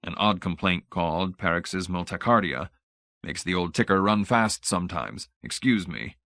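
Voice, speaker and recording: A man's voice: low-pitched, animated, normal speed, fairly bright tone, no room sound. A man voicing a fussy, pompous old research director in an audiobook, idealistic and grand in manner but timid. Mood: bewildered, shaken.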